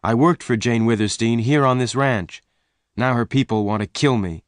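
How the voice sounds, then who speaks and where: A man's voice: medium pitch, measured pace, no room sound, clean studio sound, voice pushed up, natural voice. A man voicing a young woman rancher who cries out and pleads, along with other lines of dialogue.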